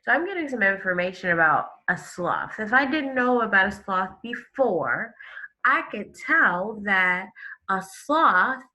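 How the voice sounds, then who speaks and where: A woman teacher reading a story aloud to children, expressive and stopping to explain it. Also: medium pitch, a little slow, rough recording. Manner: expressive, explains to listeners with questions and gasps. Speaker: elementary school teacher.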